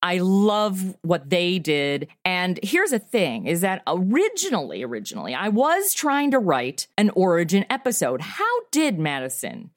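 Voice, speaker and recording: A woman's voice: lowish voice, wildly swooping pitch, unhurried, hardly any pauses, dry recording, clean studio sound. A woman chatting casually as an interview guest, emphatic and given to repeating words for stress. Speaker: podcast creator and writer, interview guest.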